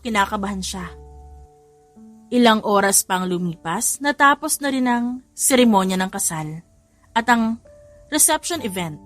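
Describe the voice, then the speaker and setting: A woman's voice: mid-range voice, fairly bright tone. A woman giving a scripted, dramatic narration of a story as an audiobook narrator. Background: background music.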